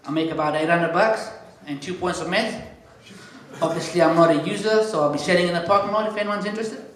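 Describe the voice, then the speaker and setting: A South African man hosting a comedy night from the stage, his voice sitting a little high for a man. He slips in deadpan one-liners between introductions. The sound rings out across a very echoey room. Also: a little hiss or hum. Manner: deadpan one-liners, chatty asides to the crowd. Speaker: comedy show MC. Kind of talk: stand-up compering.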